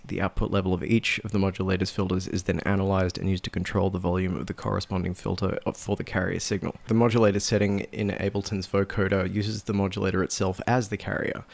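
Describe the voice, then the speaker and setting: A man narrating a casual software tutorial in a low-key, matter-of-fact way, like a sound designer explaining his process. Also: creaky, no room sound.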